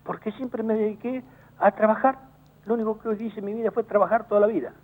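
A high-pitched Argentine man on a tinny phone line, heated and indignant. He stumbles, repeats words in quick bursts and pushes his point hard. He comes across as a business chamber director defending himself in a call-in interview. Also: steady tone. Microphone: telephone.

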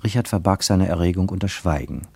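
A man acting as the grave, reflective narrator of a literary radio play, with deliberate dramatic phrasing. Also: low-pitched, creaky, darkish tone, slightly rough, no room sound, heavily acted. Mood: grave, suspenseful.

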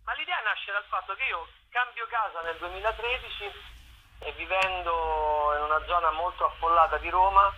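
A man's voice: high voice, normal speed, highs cut off, slight background noise. A man explaining his work in a radio interview, often repeating the openings of his phrases. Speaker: actor and playwright being interviewed. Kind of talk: conversational interview, explaining own show.

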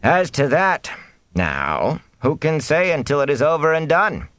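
Casting: crafty male peddler, acted by a man narrating, raised pitch, secretive and theatrical, storybook fantasy. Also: sing-song, unhurried, a bit bright, dry recording. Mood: sly, casual. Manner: insinuating, falsely casual. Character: sly, theatrical peddler, slyly amused bearer of news.

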